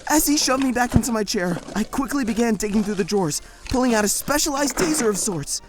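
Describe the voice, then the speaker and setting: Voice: medium pitch, expressive, fast, music in the background, close to an everyday voice. Exasperated warden narrating, voiced by a performer. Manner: frantic, exasperated storytelling. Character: harried prison warden narrating in first person. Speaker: first-person narrator. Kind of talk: fan audio drama narration.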